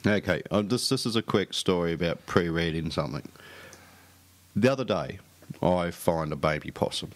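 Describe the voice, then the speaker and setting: Low-voiced man who stutters. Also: lively intonation, normal speed. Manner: stutters, with repeated restarts. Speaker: radio show co-host. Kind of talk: casual storytelling chat.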